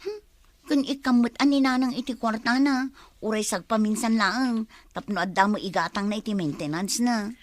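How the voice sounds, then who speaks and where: An actress with an Ilocano accent playing a plain-spoken fish-vendor sister in a comedy audio drama, reproachful, teasing and expressive. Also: female voice, a little high, normal speed, no room sound, lightly acted. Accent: Ilocano, Philippines.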